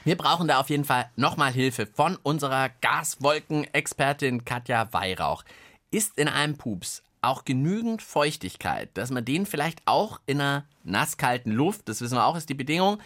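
A man's voice: a little high, lively intonation, moderate pace, a bit bright. A man hosting a children's science podcast, chatting in a playful, mock-earnest way. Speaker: podcast host. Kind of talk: light-hearted children's science podcast chat.

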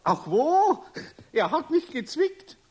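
An actor playing a kindly, flustered older master carpenter in a children's radio play, with a husky voice and Bavarian accent, often muttering or exclaiming. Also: male voice, extremely high pitch, big pitch swings, slow pace, heavily acted. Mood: amused, delighted. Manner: muttering to himself, hesitant, exclaiming.

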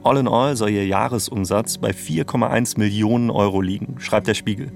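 A man's voice: fairly low pitch, a little fast, gravelly, soft background music. A man hosting and narrating a documentary podcast from a script.

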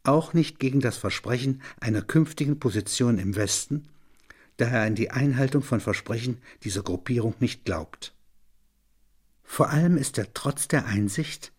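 A man reading scripted literary narration in a measured, sober way, phrase by phrase. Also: mid-range voice, a little mellow, slightly rough.

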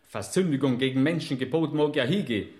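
An actor playing an indignant heavenly accuser in a radio play, with a Bavarian accent. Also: male voice, medium pitch, quick pace, darkish tone, roomy sound, lightly acted. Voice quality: indignant, scolding.

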